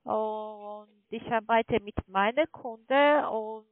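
A woman with a notably high voice works a phone sales call. She is not a native German speaker and talks slowly, in formal, halting phrases. The line is narrow and tinny. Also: dry recording. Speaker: call centre agent.

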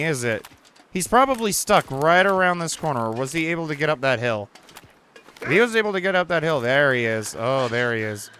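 A man's voice: medium pitch, a little hiss or hum. Chatty young man swearing through a game. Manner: chatty, reacts loudly, swears. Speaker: gaming channel host. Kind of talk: casual let's-play commentary. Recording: faint game ambience behind.

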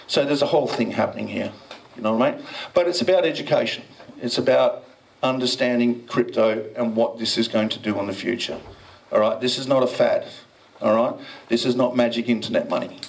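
A middle-aged man holds a handheld mic in a dim event room. He jokes and banters with the crowd in a gravelly voice, poking fun at himself as he presents. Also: medium pitch, a little fast, rough recording. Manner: cheeky, self-mocking, banters with the audience. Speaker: presenter at a blockchain meetup. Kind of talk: joking conference talk.

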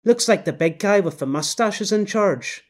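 A man's voice: high-pitched, expressive, normal speed, voice pushed up. A man voicing a sardonic middle-aged woman who watches from the crowd, speaking in a drawling, mock-sweet way. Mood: snide.

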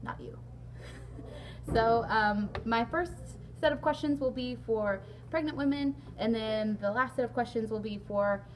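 Hoarse raspy young woman hosting a vlog. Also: a little high, measured pace, a little hiss or hum, poor audio. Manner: chatty, frequent ums, laughs along. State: hoarse, lost voice. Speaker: vlog host. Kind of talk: casual sit-down interview. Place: large indoor hall.

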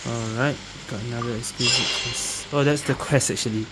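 A woman doing casual gameplay commentary as a streamer, muttering halting reactions. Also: a bass voice, steady tone, over loud music. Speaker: gaming streamer. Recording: noisy with game ambience behind. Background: game ambience.

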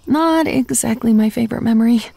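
A woman's voice: a little high, a little fast, gravelly, warm tone, no echo. A woman playing a wry, upbeat young female zombie who chats self-deprecatingly to the listener in a comedy audio drama. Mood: wry, confiding. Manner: conversational, self-deprecating, sardonic asides. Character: chatty, wry, upbeat young female zombie narrating her undead life.

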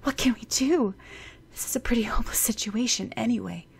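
A woman plays a weary hospital doctor in a storybook reading. The tone is firm and a little condescending, and her voice is gravelly. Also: a little high, brisk, pitched above the performer's own voice, exaggerated voice. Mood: worried.

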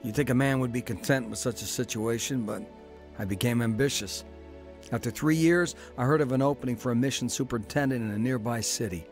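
A man's voice: mid-range voice, brisk, faint music behind, close to an everyday voice. An actor playing a reformed former crook who tells his own story in a radio drama, talking plainly and reflectively. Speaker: narrator and main character. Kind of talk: radio drama acting and narration.

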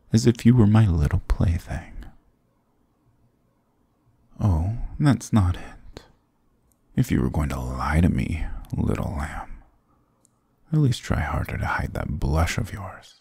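A man's voice: warm tone, no echo, full character voice. A man voicing a dominant, sarcastic demon king in an ASMR roleplay, teasing and menacing, close to the mic. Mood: taunting, seductive. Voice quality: very deep, gravelly, husky. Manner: sarcastic, teasing, menacing purr with low chuckles. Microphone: close, dry microphone.

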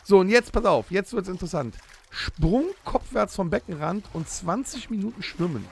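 Lively gravelly-voiced man, eager and playful. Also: high voice, normal speed. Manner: eager, reads rules aloud with playful emphasis. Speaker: podcast host. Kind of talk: comedic audio-drama improv.

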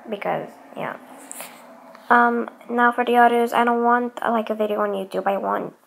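A woman's voice: a little high, steady tone, normal speed, vocal fry, slight background noise, rough recording. A young woman making a casual announcement to viewers in a hesitant, rambling way, often repeating herself. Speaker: host of a fan voice-acting channel.

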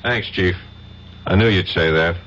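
An actor playing a wry, stubborn American federal detective in a radio drama, sardonic and informal. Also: male voice, low voice, a little slow, a bit bright. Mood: wry frustration. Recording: muffled, hissy vintage radio recording.

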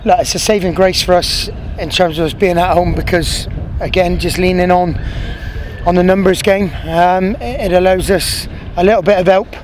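English football manager in his 30s, pitchside post-match chat, blunt and repetitive, outdoor noise audible. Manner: frank, repeats himself, many restarts. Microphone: handheld interviewer mic, medium framing. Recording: outdoor noise and passing traffic.

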